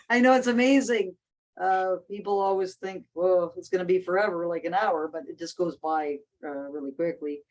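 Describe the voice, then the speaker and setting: A woman's voice: on the low side, normal speed, rough recording. A woman hosting a casual discussion, speaking thoughtfully with frequent restarts and fillers. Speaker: host.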